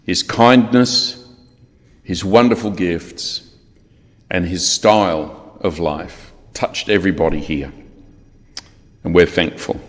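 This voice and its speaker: A middle-aged Australian man leads a funeral service, his voice very low and his delivery measured, solemn and courteous. His words ring out with the long reverb of a large hall. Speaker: funeral celebrant. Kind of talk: formal funeral address and prayer.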